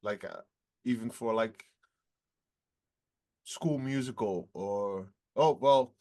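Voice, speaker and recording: A singer in his twenties answering interview questions in a relaxed, halting way, often laughing between phrases. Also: lowish voice. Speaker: rock band singer, guest.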